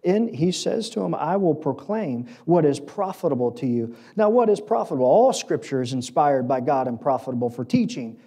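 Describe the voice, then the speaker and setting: Mellow American preacher, higher-pitched man. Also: very animated, moderate pace, hardly any pauses. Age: middle-aged. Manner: emphatic, short repeated phrases for rhetorical effect. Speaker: pastor preaching. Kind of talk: sermon. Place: auditorium stage at a lectern, indoors. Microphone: lectern microphone.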